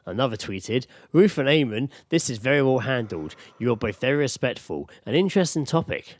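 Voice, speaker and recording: A man's voice: medium pitch, big pitch swings, brisk. A British man co-hosting a casual comedy podcast, reading out news and chatting in an amused, sweary way. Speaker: podcast co-host.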